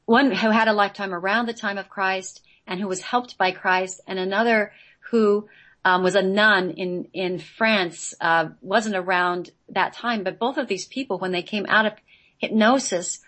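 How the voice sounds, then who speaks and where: A woman with a medium-pitched voice speaks as a radio show guest. She explains things conversationally and earnestly, often in halting phrases, pausing to spell a word out. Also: a bit bright.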